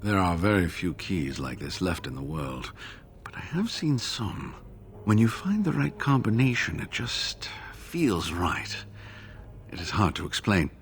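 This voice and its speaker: An actor playing a weary, earnest scholar in a fantasy video game, speaking in a measured, formal, rueful way. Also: male voice, low voice, very animated, a little hiss or hum, heavily acted.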